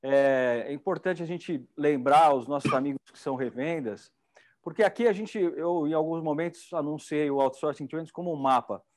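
A man's voice: a little high, normal speed, darkish tone. A middle-aged man with a Brazilian Portuguese accent, hosting an event in a chatty, enthusiastic way on a lapel mic. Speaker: event host. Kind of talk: casual hosting chat.

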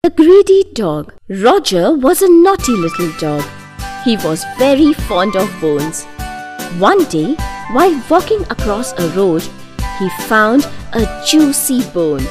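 A woman's voice: a little high, sing-song, takes it slowly, over music. A woman narrating children's moral stories from a script as a storyteller.

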